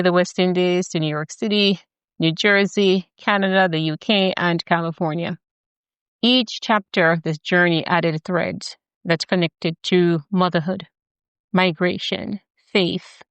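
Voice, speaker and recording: A middle-aged Jamaican woman hosts a podcast. Her voice sits low and sounds smooth and resonant, and it is recorded close and dry. She speaks in a measured, deliberate way and builds her points as rhythmic lists. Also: no echo. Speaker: podcast host. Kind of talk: scripted podcast introduction.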